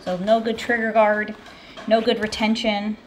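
A woman's voice: mid-range voice, completely flat delivery, measured pace, slight background noise, poor audio. An American woman in her thirties giving a casual, conversational product review to camera as host. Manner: conversational, informal. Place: backyard patio and home kitchen.

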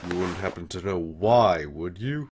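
A man's voice: sits low, moderate pace, noisy background, lightly acted. A man voicing a laid-back, wry, protective skeleton older brother in a comic dub, talking in a casual, dry, teasing way. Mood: dryly teasing. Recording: voice over background music.

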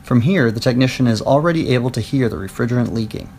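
Male narrator, mid-range voice, steady scripted product explainer, clear and even studio read. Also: medium pitch, fast talker, a little hiss or hum.

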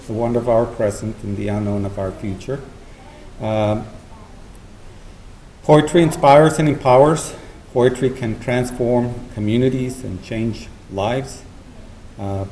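A middle-aged man with a fairly low, mellow voice gives formal public remarks. He speaks haltingly into a podium microphone, and the room echoes around him. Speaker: library official giving welcome remarks at a podium. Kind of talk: formal public speech with thanks and introductions. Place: large meeting hall with seated audience, indoors. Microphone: podium gooseneck microphone. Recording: roomy auditorium sound, faint noise.

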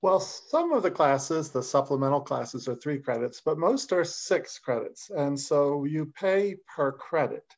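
A man from the college staff speaks steadily on an online panel. His voice is gravelly. The video call audio sounds dull and lo-fi. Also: a little high, animated, unhurried, few pauses.

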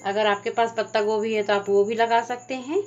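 A woman hosting a home cooking channel, calmly explaining each step of a recipe as she goes. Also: fairly high pitch, a little fast. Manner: plain, instructive, walks through each step. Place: home kitchen at the stove. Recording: slightly dull lo-fi sound with faint music.

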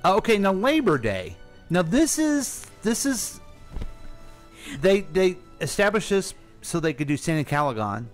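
A man's voice: high-pitched, big pitch swings, a little slow, soft background music. An American man co-hosting a radio show in casual, joking banter, often repeating his words. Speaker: radio co-host.

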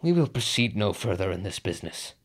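An actor playing a brooding, conflicted nobleman with deliberate, theatrical verse delivery in a Shakespearean radio drama. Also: on the low side, sing-song. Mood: tense, reluctant.

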